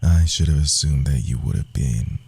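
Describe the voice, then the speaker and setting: A man playing a sly, cocky rogue assassin in a fantasy audio roleplay, speaking softly and theatrically, often dropping into whispers. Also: normal speed, full character voice. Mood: smug, teasing. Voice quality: low, gravelly, husky.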